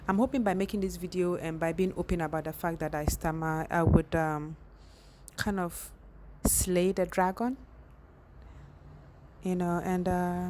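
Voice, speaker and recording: A woman's voice: on the low side, noisy background. A young woman speaking candidly in a personal vlog, reflective, with occasional stammers and restarts.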